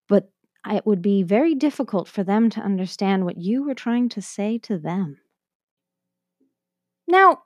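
A woman's voice: a little high, no room sound, studio quality. A woman with a Canadian accent hosting an educational podcast, narrating a script in an enthusiastic, conversational way. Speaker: host of an educational language podcast.

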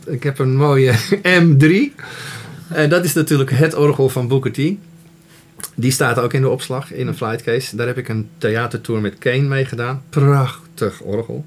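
A man's voice: medium pitch, steady tone, normal speed. A man, a working musician, talking informally in an interview. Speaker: musician, organist. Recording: clear background noise.

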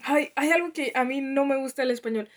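Young mexican woman from the north, casual chat, high voice, laughs a lot. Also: brisk. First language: Spanish. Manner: chatty, teasing, laughs often. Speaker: co-host, sister.

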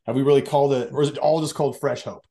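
A man's voice: medium pitch, steady tone, racing, vocal fry, mellow. A man hosting a podcast, introducing his guest and asking questions in a laid-back, casual way with frequent fillers. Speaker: podcast host.

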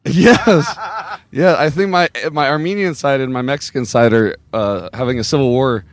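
A male comedian guest joking on a podcast, often with deadpan, mock-formal denials. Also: mid-range voice, normal speed. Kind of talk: casual banter on a podcast.

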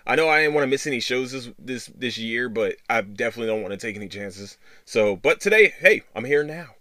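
A man hosting a casual solo podcast, talking in a rambling, halting way with repeated words and loose swearing. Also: mid-range voice, lively intonation, pushed and bright, no room sound, studio quality. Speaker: podcast host.

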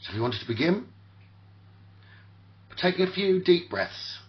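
Male sleep-talkdown host, soothing and slow, repetitive phrasing with long gaps, very varied intonation, dull lo-fi audio. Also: sits high, sing-song. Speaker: guided meditation host. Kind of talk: guided sleep meditation, spoken relaxation talkdown.

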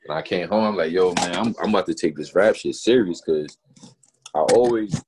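Deep-voiced laid-back rapper man. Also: a little fast, noisy background. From Newburgh, New York. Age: adult, not young. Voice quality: naturally deep voice. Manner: laid-back, slangy, many "you know what I mean" fillers. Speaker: rapper guest. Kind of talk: casual interview chat.

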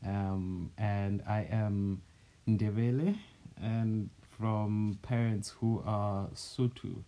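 A man's voice: fairly low pitch, fairly even intonation, extremely slow, muffled recording. A man from Zimbabwe co-hosting a casual podcast chat, speaking earnestly and thinking aloud with fillers. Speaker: podcast co-host.

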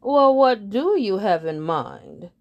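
A woman's voice: mid-range voice, very animated, lo-fi sound. A woman doing a pompous head bird. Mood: scornful. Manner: pompous, drawn-out. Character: snobbish head bird, haughty and grand.

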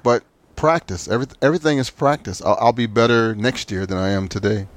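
A man with a fairly low voice and a Southern US accent hosts a podcast interview. He talks informally and appreciatively, as in a casual chat with a friend. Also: brisk.

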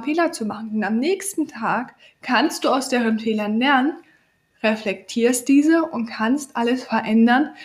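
A woman with a cold hosting a podcast, giving an earnest motivational monologue straight to the listener. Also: fairly high pitch. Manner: earnest, coaching, addresses listener directly. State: has a cold. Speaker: podcast host.

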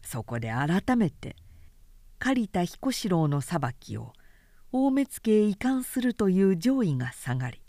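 A woman reading literary fiction aloud as a narrator, in a measured and composed storytelling manner. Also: on the low side, dark tone, dry recording, clean studio sound.

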